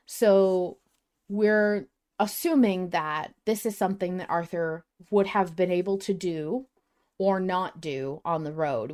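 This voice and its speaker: A woman hosting a casual podcast discussion, thinking out loud at length with some emphasis. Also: lowish voice. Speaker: podcast host.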